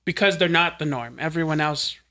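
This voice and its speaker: Bright-voiced man explaining earnestly. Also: on the high side, brisk. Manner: earnest, explaining things step by step. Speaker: podcast host. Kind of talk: casual podcast chat.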